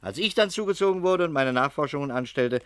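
An actor playing a shrewd English private detective in a German radio crime drama, politely probing and deliberate with gentle irony. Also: male voice, a little high, lively intonation, no room sound. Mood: calmly accusing.

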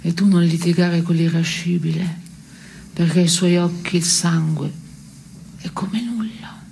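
An actress playing a dry, disillusioned woman in a radio theatre piece, giving terse, deadpan replies. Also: fairly low pitch, a little slow, gravelly, slight background noise. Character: dry, disillusioned woman answering her melancholy companion. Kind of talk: avant-garde radio theatre dialogue.

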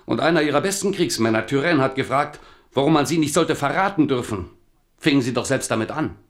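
A man's voice: mid-range voice, animated, fairly quick, close to an everyday voice. An actor playing a king's melancholy, reproachful companion and poet in a radio drama, earnest, solemn and poetic. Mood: bitter reproach. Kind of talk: German radio drama acting.

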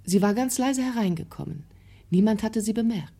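A woman narrating a literary radio drama in a scripted storytelling style, with a husky voice. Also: mid-range voice, animated, moderate pace, warm tone.

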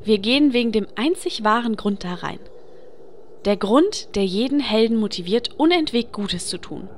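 A woman playing a pragmatic, deadpan fantasy game adventurer who leads her group, in a comedy audio play. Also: on the high side, moderate pace, a little hiss or hum, lightly acted. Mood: matter-of-fact. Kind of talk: audio comedy parody acting.

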